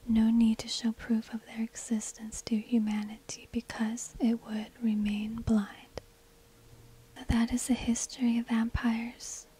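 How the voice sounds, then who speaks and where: A woman delivers ASMR narration in a very breathy, unvoiced whisper. Her delivery is slow and hushed, with almost no melodic movement, spoken close into a binaural microphone. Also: fairly high pitch, low-quality recording. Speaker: ASMR video host and shopkeeper.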